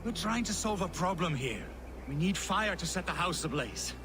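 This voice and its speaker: An actor playing an exasperated, bossy and bumbling raider in a video game, scolding and flustered. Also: male voice, sits high, animated, moderate pace, background noise. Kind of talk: comic video game acting. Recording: loud music over the voice.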